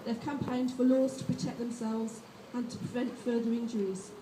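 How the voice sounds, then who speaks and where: A woman's voice: a little high, moderate pace, reverberant, distant mic, background noise. A woman reading a prepared speech at a rally and introducing the next speaker. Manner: steady, reading from notes. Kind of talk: read-out speech.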